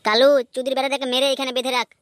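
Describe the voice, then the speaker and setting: A woman's voice: high-pitched, flat delivery, rapid-fire, very noisy, close to an everyday voice. A woman voicing a bossy male gang henchman in a comedy cartoon, cocky, coarse and commanding. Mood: bullying. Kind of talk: amateur comedy cartoon dubbing. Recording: music behind the voice.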